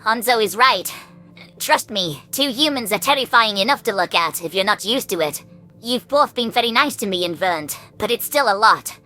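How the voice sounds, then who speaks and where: Sci-fi story alien lady acted by a man, high and sharp, skittish yet outspoken, prim delivery. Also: expressive, moderate pace, faint music behind, exaggerated voice. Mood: anxious, emphatic.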